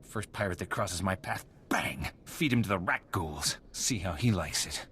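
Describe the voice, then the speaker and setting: An actor playing an unhinged, vengeful settler in a video game, muttering and repeating himself with menace. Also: male voice, low voice, expressive, normal speed, a bit bright, slight background noise. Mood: crazed, vengeful. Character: unhinged, vengeful male settler obsessed with pirates.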